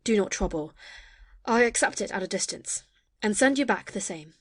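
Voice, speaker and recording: An actress playing a brisk, cheeky young maidservant in a classic comedy audio play, pert and urgent. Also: female voice, medium pitch, lively intonation, fairly bright tone, husky, no echo. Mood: coolly teasing.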